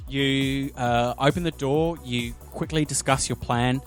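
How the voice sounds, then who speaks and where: Male game master with mid-pitched voice. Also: steady tone, a little slow, soft background music. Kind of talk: narrating a tabletop roleplaying game.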